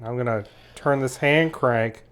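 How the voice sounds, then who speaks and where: A man's voice: medium pitch, a little slow, fairly bright tone, clean tone. A man giving a casual, methodical hands-on product review, explaining each step as he goes. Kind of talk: casual hands-on gadget demonstration.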